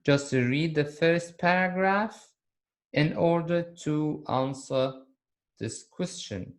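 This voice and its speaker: A man teaching an English lesson on video, speaking slowly and repeating key words for his students. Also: on the high side.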